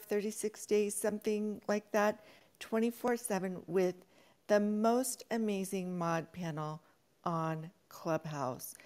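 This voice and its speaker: A woman moderating an online audio chat room, energetic and hype-building, laughing often as she rallies listeners. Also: mid-range voice. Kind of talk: enthusiastic Clubhouse hosting.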